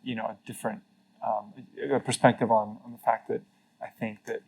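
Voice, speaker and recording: A man's voice: lowish voice, level tone, fairly quick, darkish tone, slightly rough, poor audio. A middle-aged man from Mexico, speaking earnestly and reflectively as an interview guest, with frequent fillers and restarts. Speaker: interview guest, former carbon registry CEO. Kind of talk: casual conversational interview.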